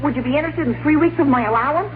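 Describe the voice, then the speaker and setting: A woman's voice: high-pitched, very fast, a little hiss or hum, natural voice. An actress playing an eager teenage boy who pleads and bargains, in 1940s radio acting, on a tinny old radio broadcast. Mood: pleading.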